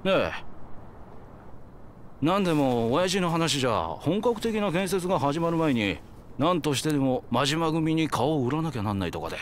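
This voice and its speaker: A man playing a slow-witted, eager young yakuza underling in a game cutscene, deferential and naive, in casual junior slang. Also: fairly high pitch, sing-song, a little hiss or hum. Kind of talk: video game cutscene acting.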